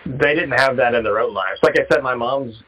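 A man's voice: mid-range voice, fairly quick, a crowd in the background. A man hosting a casual phone chat with a friend, speaking in an informal, conversational way. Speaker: host, interviewer. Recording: dull, band-limited phone call.

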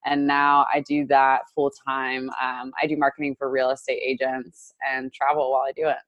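A young woman from Indiana with a notably low voice talks in an easy, chatty way. She fills her sentences with filler words and laughs often. The sound is dry and close, with no room echo. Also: level tone, moderate pace, muffled recording. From United States, Indiana. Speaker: guest, digital marketer. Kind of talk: casual interview chat.

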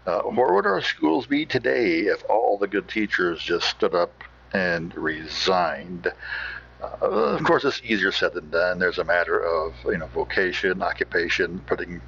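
A man hosting a podcast, giving casual off-the-cuff commentary in a halting, musing way. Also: low voice, expressive, vocal fry, a bit bright, husky. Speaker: podcast host. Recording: slightly muffled sound.

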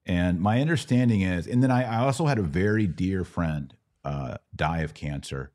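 A man's voice: sits low, expressive, normal speed, a little mellow. A man hosting a conversational religious question-and-answer podcast, teaching emphatically and repeating key words for stress. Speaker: podcast host.

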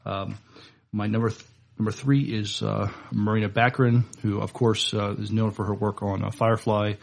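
Male co-host, low and gravelly, hesitant casual delivery full of pauses. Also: level tone. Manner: halting, hesitant, many pauses and fillers. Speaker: podcast co-host. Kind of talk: casual podcast chat.

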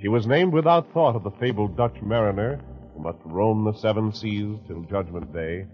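A man's voice: fairly low pitch, normal speed, muffled recording. A man announcing in scripted 1940s radio style on an old broadcast.

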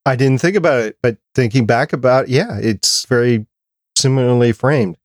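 Male podcast co-host, medium pitch, measured and opinionated, casual review chat, dry recording. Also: no echo.

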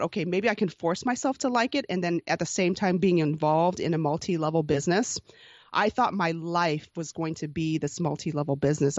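Woman, forties, lower register; candid and reflective guest, restarts often, soft laughs; dry, slightly dull sound. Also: no room sound. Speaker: podcast host being interviewed as guest. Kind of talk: candid personal storytelling.

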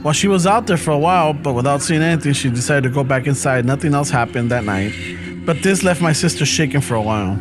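A man with a Chicano English accent reads out a listener's tale in a loose, casual podcast manner. His voice sits a little high and swings widely in pitch. Music plays clearly underneath him. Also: lively intonation, fast. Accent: Chicano English with Spanish phrases. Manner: laid-back, swears often, laughs through lines. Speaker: podcast host. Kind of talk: casual chat, reading listener stories.

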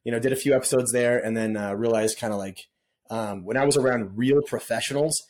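A man's voice: mid-range voice, steady tone, brisk, a little mellow. A middle-aged man telling stories as a podcast guest in a casual, laid-back way, often saying dude and man. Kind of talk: casual storytelling interview.

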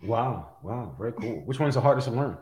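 Man, interviewer, average pitch, casual, halting delivery, repeated words, roomy echo. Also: animated, darkish tone. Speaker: interviewer, athletic trainer. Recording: echoey room.

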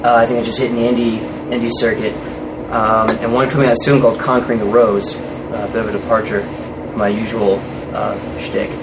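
A man's voice: fairly low pitch, little pitch movement, a little fast. A man, an actor being interviewed, chatting casually in a rambling, hesitant way. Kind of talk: casual chat. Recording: noisy room with air-conditioning hum.

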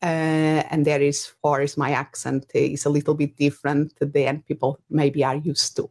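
A woman's voice: sits low, flat delivery, normal speed, a little mellow. A woman from Portugal with a Portuguese accent, a business coach talking through ideas in a casual interview and searching for her words. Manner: thoughtful, searching for words with fillers. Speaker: business coach and podcast host.

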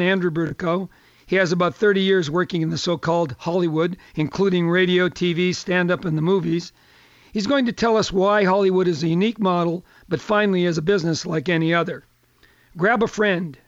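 An older man hosting a radio talk show in a chatty, folksy manner, his voice a little hoarse. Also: sits high, dry recording, dull audio. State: slightly hoarse.

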